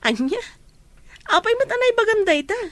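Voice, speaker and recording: A woman's voice: extremely high pitch, sing-song, moderate pace, no room sound. A woman with a Filipino accent playing a chatty, teasing young office friend in an Ilocano audio drama. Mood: insistent.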